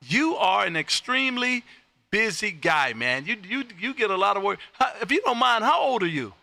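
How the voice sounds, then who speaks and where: A loud, exuberant man hosting a casual amateur radio stream, chatting and calling, repeating himself for emphasis. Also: high-pitched, sing-song, moderate pace, vocal fry, dry recording. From Texas. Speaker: amateur radio operator and live stream host.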